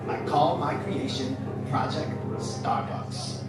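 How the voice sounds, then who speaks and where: A man's voice: on the high side, slow pace. A man playing a scheming, megalomaniac evil genius villain in an old-time radio serial parody, with grandiose, theatrical delivery. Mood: gloating, scheming. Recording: echoey, distant, under very loud music.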